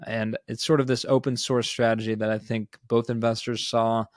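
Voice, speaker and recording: A man's voice: mid-range voice, little pitch movement, normal speed, no echo, studio quality. A man co-hosting a podcast, talking through business news in a casual, informal way. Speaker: podcast co-host. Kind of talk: conversational business news discussion.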